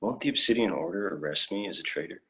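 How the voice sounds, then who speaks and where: A man's voice: deep voice, animated, quick pace, fairly bright tone. A young man playing an earnest, naive alien traveller in a sci-fi audio drama, polite and full of wonder. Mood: anxious. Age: young adult.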